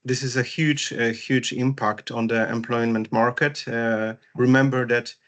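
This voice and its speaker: A man, chatting casually on a video call as co-host, pausing often with fillers. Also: medium pitch, steady tone, fairly bright tone. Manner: hesitant, many fillers. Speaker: co-host, business and wellbeing strategist.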